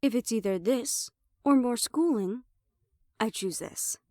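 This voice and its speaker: A woman's voice: fairly high pitch, measured pace, mellow, no echo. Sassy siren hybrid woman, close dry sound, acted. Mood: teasing, flirty. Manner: sassy, sweary, conversational, slipping into Spanish. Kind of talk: roleplay audio drama acting.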